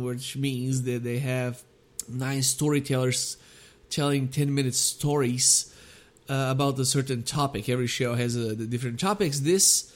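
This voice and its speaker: A man, hosting his own podcast in a casual, rambling, self-deprecating monologue full of hesitant fillers. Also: mid-range voice. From Bulgaria. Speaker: podcast host and comedian.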